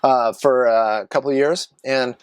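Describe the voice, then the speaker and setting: A man's voice: medium pitch, fairly even intonation, normal speed, clean tone, dry recording, studio quality. Chatty rambling man in his forties. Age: 40s. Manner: chatty, self-mocking, wandering asides. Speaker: vlogger and live streamer. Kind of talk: rambling casual monologue to camera. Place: small garage office.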